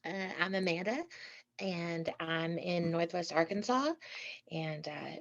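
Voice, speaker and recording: A woman, introducing herself quietly as a wellness practitioner. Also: on the low side, slow. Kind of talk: casual self-introduction. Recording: dull lo-fi call audio.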